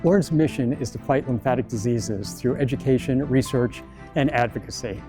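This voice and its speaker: A man, head of a nonprofit, reading a brisk scripted introduction and asking listeners to become members. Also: mid-range voice. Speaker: nonprofit president introducing the event. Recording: distant voice over music.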